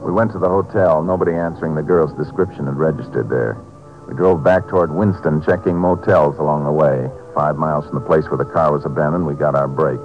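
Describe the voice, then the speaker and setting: An actor playing a steady, laconic American Texas Ranger in a 1950s radio drama, terse and matter-of-fact. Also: male voice, rumbling low, a little fast, over music. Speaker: lawman lead and narrator of radio drama. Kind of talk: 1950s radio acting, scripted narration. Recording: muffled 1950s radio recording.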